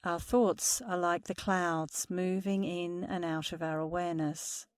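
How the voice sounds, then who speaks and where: A woman meditation instructor speaking softly and soothingly through a guided meditation. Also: fairly low pitch, steady tone, clean tone, dry recording, clean studio sound. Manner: slow, soothing, soft-spoken guidance.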